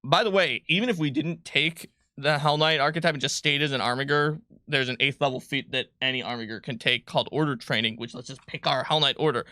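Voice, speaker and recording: A man's voice: mid-range voice, fast, few pauses, sharp tone, no echo. A man hosting a livestream in casual chat, talking playfully as he thinks aloud through building a tabletop game character.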